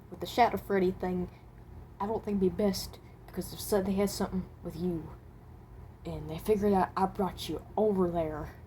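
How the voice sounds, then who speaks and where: A woman's voice: medium pitch, darkish tone, a little hiss or hum, close to an everyday voice. A woman playing a guarded, awkward animatronic possessed by someone who died at seventeen, in a hesitant, rambling amateur monologue. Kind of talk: amateur character voice acting, improvised monologue.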